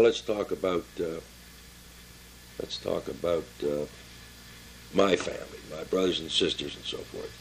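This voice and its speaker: An elderly American man in his seventies or older reminiscing informally about his life, halting and plain-spoken as he searches his memory. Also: deep voice, gravelly, a little hiss or hum, poor audio. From Pittsburgh area, Pennsylvania, United States. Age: 70s or older. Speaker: family member recording his life story for a relative.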